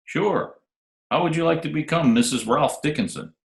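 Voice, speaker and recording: A man playing a smooth, wisecracking hardboiled private detective in an old-time radio drama. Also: on the high side, normal speed. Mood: teasing, suave. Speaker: lead actor and narrator. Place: home, remote video call recording. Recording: roomy, echoey remote home recording.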